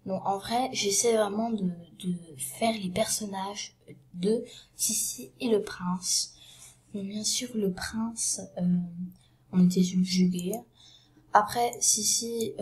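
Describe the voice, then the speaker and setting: A woman with a cold retelling a story in a casual, halting way, often dropping to a hushed half-whisper and filling pauses with fillers. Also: medium pitch, fairly even intonation. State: has a cold.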